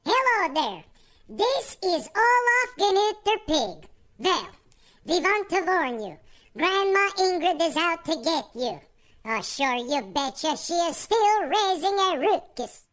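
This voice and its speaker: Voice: very high voice, sing-song, slow pace, fairly bright tone, exaggerated voice. A performer voicing a folksy male pig calling in. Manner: folksy, neighborly. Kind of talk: comic radio play acting.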